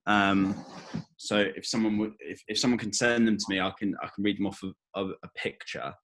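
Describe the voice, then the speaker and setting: Guy with a lowish voice, casual chat in an actors script meeting. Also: fairly even intonation, brisk, fairly bright tone, low-quality recording. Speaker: actor at a table read.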